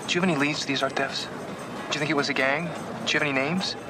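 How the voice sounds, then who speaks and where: A young actor playing an earnest, level-headed amateur detective in a 1970s TV drama. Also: male voice, fairly high pitch, steady tone, music in the background, lightly acted. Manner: earnest and brisk.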